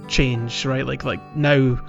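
Male podcast co-host, mid pitch, casual explainer, slightly dull recording over soft music. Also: little pitch movement, slow pace, creaky. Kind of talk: casual gaming podcast chat.

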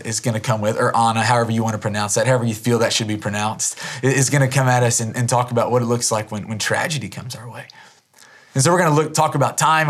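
Hoarse thirties male pastor, conversational sermon delivery, mid-pitched, earnest and loose. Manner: earnest, conversational, frequent restarts and repeated words.

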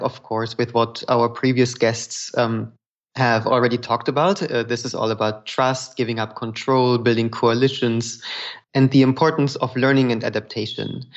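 A man co-hosting a podcast, explaining things in an orderly commentary. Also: medium pitch. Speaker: podcast co-host. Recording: dull lo-fi audio.

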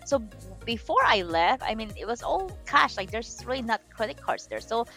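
A woman's voice: a little high, moderate pace, faint music behind. Chatty woman financial coach. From Philippines. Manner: animated, chatty, frequent restarts and "you know". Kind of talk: casual interview chat.

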